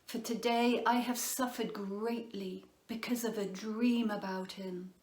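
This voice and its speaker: A woman playing a governor's troubled wife, measured in delivery, in a dramatised scripture reading. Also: mid-range voice, steady tone, a little mellow, natural voice. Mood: troubled warning. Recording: roomy, echoing home recording.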